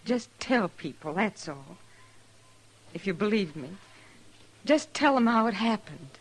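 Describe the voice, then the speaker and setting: A woman's voice: mid-range voice, sing-song, a little slow, background noise. An actress playing a world-weary, defiant frontier woman in a radio western, plaintive but hard-edged. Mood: pleading. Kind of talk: 1950s radio western acting.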